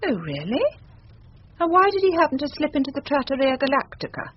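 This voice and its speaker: A haughty, sceptical British wife in a radio drama. Her high voice swings dramatically as she talks with sharp, sarcastic indignation. The recording is muffled and faintly noisy. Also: big pitch swings, fairly quick.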